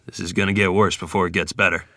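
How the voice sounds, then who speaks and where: A man's voice: low-pitched, little pitch movement, fast talker, bright, forceful tone, no echo, studio quality. A man playing a gritty, determined 1920s river ferryman in a screenplay table read, terse and commanding. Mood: alarmed, urgent.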